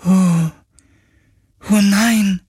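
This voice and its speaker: A man narrating puts on an old, lonely spirit of the sea, a glowing sphere of slime. Its voice sits high and thin and drags slowly along. Much of it comes out as a husky, breathy whisper. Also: no room sound, studio quality, exaggerated voice. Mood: grieving. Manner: slow, high, airy whispering. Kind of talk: audiobook character voice.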